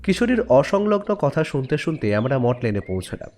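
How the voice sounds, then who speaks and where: A man's voice: mid-range voice, big pitch swings, normal speed, darkish tone. A man narrating a scripted audio story expressively, acting out the dialogue as he goes.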